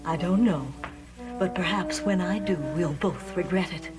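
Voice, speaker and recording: An actress in a gothic soap opera playing a middle-aged, devoted mother, halting and emotional as she stands up to her husband. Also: fairly low pitch, lightly acted. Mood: anxious, reproachful. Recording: dramatic music under the dialogue.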